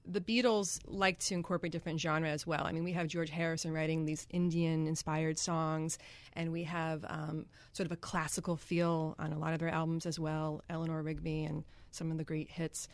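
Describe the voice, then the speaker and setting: A woman's voice: sits low, little pitch movement, normal speed, dry recording. A woman from Connecticut, a singer-songwriter, chatting as a guest in a radio studio interview, with many ums and easy laughs. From Greenwich, Connecticut.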